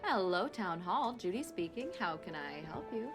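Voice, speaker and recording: Radio play: female switchboard operator played by a woman, 1960s, young mother, cheerful and folksy, very expressive delivery. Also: on the low side, normal speed, over music. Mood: polite, helpful. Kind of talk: period radio play acting.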